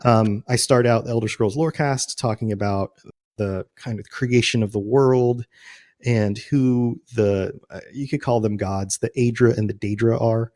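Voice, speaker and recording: A man's voice: mid-range voice, steady tone, measured pace, a little mellow. A man chatting informally and casually as a podcast host about game lore, often chuckling.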